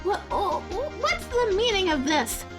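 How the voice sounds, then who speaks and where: A woman's voice: very high-pitched, sing-song, measured pace, heavily acted. A woman voicing an indignant, flustered male prosecutor, reading courtroom game dialogue in a stammering, protesting way. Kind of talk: reading visual novel game dialogue aloud. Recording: music behind. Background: game music.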